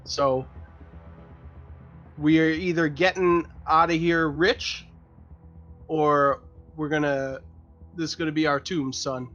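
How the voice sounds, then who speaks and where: A man playing a tabletop roleplaying game, talking casually and haltingly, partly in character. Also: on the high side, steady tone, fairly bright tone, highs cut off. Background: background music.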